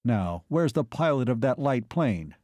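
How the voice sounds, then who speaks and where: Veteran captain, read by a male narrator: stoical, level delivery, mellow tone, audiobook. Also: mid-range voice, unhurried, no room sound. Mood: businesslike. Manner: level, flat, matter-of-fact.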